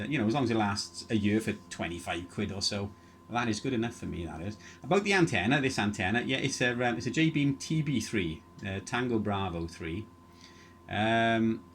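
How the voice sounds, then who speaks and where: A man with a fairly low voice talks casually over ham radio. He rambles through technical details at length. The recording carries a faint layer of noise. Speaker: amateur radio operator.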